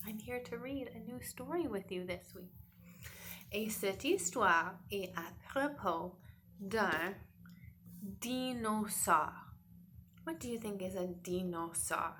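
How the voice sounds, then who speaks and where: A woman's voice: mid-range voice, takes it slowly, long pauses, fairly bright tone, slight background noise. A woman teacher giving a read-aloud lesson for children, coaxing young learners with questions. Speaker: teacher reading a picture book to children.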